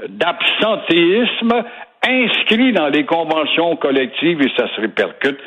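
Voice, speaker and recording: A man's voice: fairly high pitch, expressive, measured pace, fairly bright tone, slightly rough. A man with a Québécois accent giving opinionated, sarcastic commentary as a regular radio guest. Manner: sarcastic, mocking repetition.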